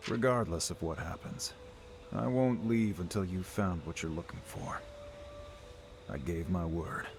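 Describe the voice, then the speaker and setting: A man acting as a calm, regretful wandering swordsman in a video game, speaking solemnly and with measure. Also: low voice, measured pace, noisy background. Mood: solemn, resolute.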